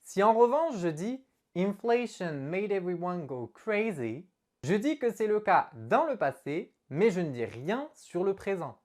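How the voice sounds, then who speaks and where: Lively woman teacher explaining grammar, voice jumps around a lot, mid pitch. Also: moderate pace, few pauses. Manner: animated, expressive explaining to viewers. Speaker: English teacher. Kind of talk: online language lesson.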